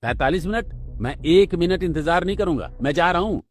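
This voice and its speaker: A man's voice: on the high side, expressive, fairly quick, pitched above the performer's own voice, close to an everyday voice. A man voicing a rude, impatient, self-important young businessman brother in a cartoon story, curt and dismissive. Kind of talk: Hindi cartoon story dialogue.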